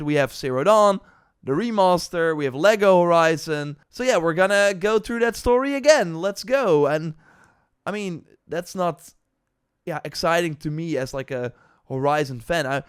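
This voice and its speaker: A man giving casual, unscripted gaming commentary in a halting, rambling way, with animated emphasis and little acted-out asides. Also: on the high side, clear, resonant tone. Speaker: gaming news streamer reacting to announcements and chat.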